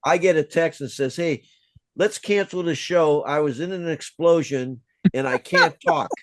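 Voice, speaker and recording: A man with a slightly high voice co-hosts a casual talk show. He stammers through restarts and keeps agreeing with the others. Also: measured pace, no echo. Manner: stammering, lots of affirming yeahs. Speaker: co-host. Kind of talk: casual chat.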